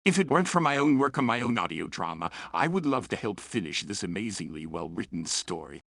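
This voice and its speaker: A man's voice: on the low side, big pitch swings, brisk, vocal fry, a bit bright, a little hiss or hum. A man hosting a scripted review in a chatty, opinionated way, with playful, self-aware asides. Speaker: host and reviewer of fan fiction and audio dramas.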